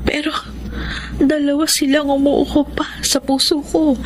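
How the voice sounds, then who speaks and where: A woman's voice: high-pitched, level tone, unhurried, background noise. An actress playing a guilt-ridden young woman torn in love, in an emotional, melodramatic radio drama style. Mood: anguished. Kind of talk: Tagalog radio drama acting, confessional narration. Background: loud music.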